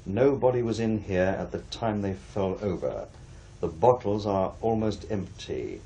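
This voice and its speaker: An actor playing a formal, cautious and inquisitive paranormal investigator in a radio play, speaking with careful precision. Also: male voice, on the low side, animated, unhurried, mellow, breathy edge, exaggerated voice. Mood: precise, cautious. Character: measured, inquisitive male paranormal investigator, a widower.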